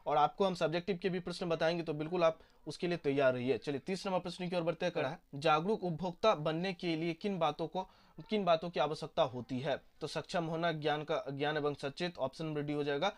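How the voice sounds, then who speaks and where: A man's voice: on the high side, brisk. A young man, walking students through exam questions and repeating each answer. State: hoarse. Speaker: teacher.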